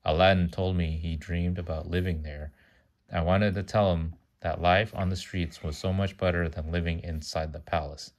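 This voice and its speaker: A man with a low-pitched voice reads a storybook aloud for a child. He sometimes trips on a word and fixes it, and he slips in casual asides about the story. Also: steady tone, moderate pace. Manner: stumbles over words and corrects himself.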